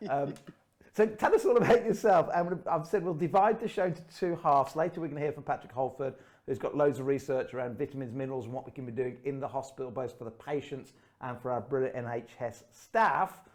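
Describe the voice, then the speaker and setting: A man in his forties hosting an online show in a chatty, upbeat way, his voice slightly croaky. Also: medium pitch, brisk. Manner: upbeat, chatty, laughs often. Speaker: host. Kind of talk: casual online show presenting. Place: room under his house.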